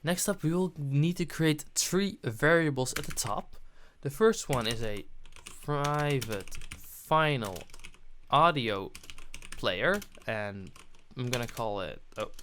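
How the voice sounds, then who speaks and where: A man's voice: mid-range voice, animated, slow, fairly bright tone, a little hiss or hum. A man presenting a programming tutorial, narrating his steps on screen as he goes.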